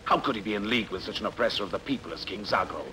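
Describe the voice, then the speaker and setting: A man's voice: on the low side, rapid-fire, sharp tone. A man playing a hearty, loyal rebel tavern keeper in a noisy film dub. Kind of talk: dubbed costume-adventure film acting. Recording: noisy old film soundtrack.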